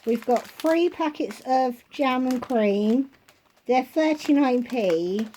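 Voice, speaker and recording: A woman vlogger at home, chatting casually through a shopping haul and listing prices, her voice going hoarse. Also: high-pitched, lively intonation, mellow, a little hiss or hum, rough recording. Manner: chatty, unhurried, itemizing prices.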